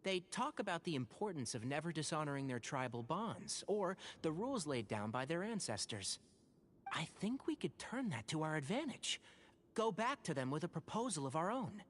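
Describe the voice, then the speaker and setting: A thoughtful, sensible young scholar from a video game. His fairly high voice rises and falls in animated phrases, earnest and well articulated. A faint ambient noise sits behind him. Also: normal speed.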